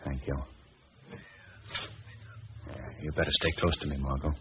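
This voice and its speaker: An actor playing a suave, commanding sleuth and invisible avenger in a 1940s-style radio drama, on an old recording. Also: male voice, very deep voice, flat delivery, moderate pace, phone-quality sound, a little hiss or hum. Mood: calm, wry.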